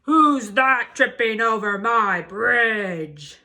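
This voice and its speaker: A woman's voice: fairly high pitch, expressive, extremely slow, pushed and bright, heavily acted. A woman voicing a mean, ugly troll from a storybook, roaring and menacing. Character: mean ugly troll bellowing threats under a bridge.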